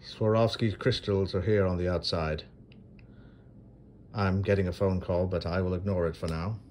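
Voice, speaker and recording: A man hosting his own coin video, narrating an unboxing from off camera in a dry, self-deprecating way with unhurried pauses. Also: sits low, steady tone, a little mellow, a little hiss or hum. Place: indoors at a marble tabletop. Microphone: phone camera held over a table.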